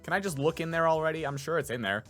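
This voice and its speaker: A man's voice: fairly high pitch, fast, a bit bright, soft background music. A man commenting as he plays a video game, in a let's play style. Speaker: video game player and voice actor.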